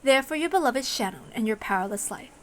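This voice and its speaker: A woman's voice: fairly high pitch, animated, fairly quick, natural voice. A woman voicing a cruel, condescending demon examiner in a story reading, taunting with mocking, coaxing questions.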